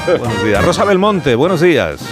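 A man hosting a morning radio show from Spain, greeting his panel one by one with quick jokes. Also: a little high, sing-song, music in the background. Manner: quick, joking, greets each guest by name. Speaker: radio host.

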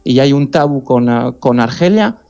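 Halting man with a mellow voice. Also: medium pitch, expressive, vocal fry, muffled recording, soft background music. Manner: halting, searching for words. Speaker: guest journalist. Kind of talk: phone-in interview.

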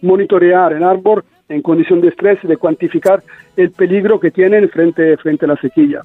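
A man's voice: sits high, fairly even intonation, normal speed, no room sound. A man with an Italian accent, a guest scientist hesitantly explaining research in a radio interview, searching for words. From Italy. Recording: tinny phone-like line.

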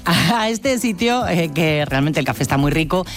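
Casting: middle-aged female radio reporter, Spain accent, lowish voice, chatty delivery, faint music underneath. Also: lively intonation, moderate pace. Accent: Spanish, from Spain.

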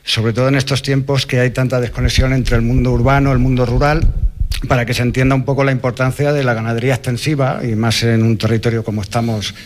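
A middle-aged man with a Spanish accent from Spain speaks at an ordinary male pitch. He is a livestock farmer from Toledo, answering questions conversationally in an interview. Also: level tone, fast, a little hiss or hum. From Toledo, La Mancha, Spain.

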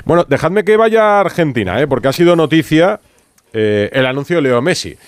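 A man with a Spanish accent hosting a radio sports show from a studio, talking in a relaxed way with asides and small laughs. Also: on the high side, brisk, smooth, no echo. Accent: Spain Spanish. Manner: conversational, reads aloud with asides and small laughs. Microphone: studio microphone.

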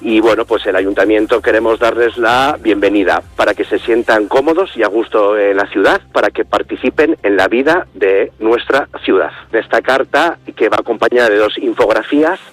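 A man, a city councillor, giving a measured explanatory statement for the radio. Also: on the low side, no echo, faint music behind. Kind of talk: recorded statement.

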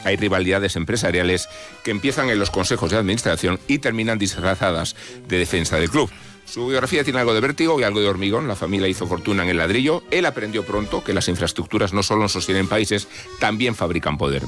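A man's voice: on the low side, a bit bright. A man reading a scripted opinion column on radio in a literary, ironic manner. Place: radio studio. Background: music under the voice.

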